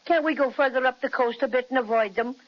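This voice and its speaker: An actor plays a little Irish teddy bear who fancies himself ferocious. The voice is high and feisty, performed as children's radio drama on a dull, lo-fi recording. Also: quick pace, close to an everyday voice. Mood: eager, worried. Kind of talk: 1930s children's radio serial acting.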